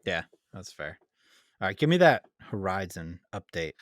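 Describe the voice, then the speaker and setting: A man's voice: sits low, sing-song, normal speed, fairly bright tone, no room sound, studio quality. A man co-hosting a gaming podcast, giving casual, conversational opinions on games. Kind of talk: casual chat and informal game review.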